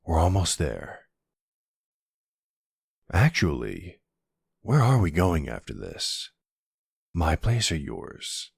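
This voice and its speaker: A man's voice: sits low, wildly swooping pitch, takes it slowly, creaky, long pauses, husky, no echo, studio quality, full character voice. A man playing a flirty, smug vampire in an intimate ASMR roleplay, with a teasing, sardonic and seductive manner. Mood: wry, mildly exasperated. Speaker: audio roleplay voice actor.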